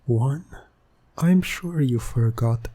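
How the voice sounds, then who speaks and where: Coaxing male hypnotist, played by a man, ASMR roleplay: soft, dark-toned, unhurried, persuasive and soothing. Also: mid-range voice, no echo. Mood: hushed, coaxing. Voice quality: soft, breathy. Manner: soothing, persuasive, deliberate, often dropping to a whisper. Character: smooth-talking, coaxing male hypnotist playing innocent motorist.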